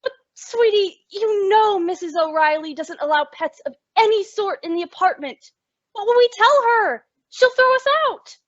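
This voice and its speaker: A woman's voice: extremely high pitch, lively intonation, moderate pace, no echo. A woman playing a sensible, exasperated roommate with a sardonic, dramatic delivery in old-time radio comedy. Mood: exasperated, alarmed. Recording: dull lo-fi radio-style recording.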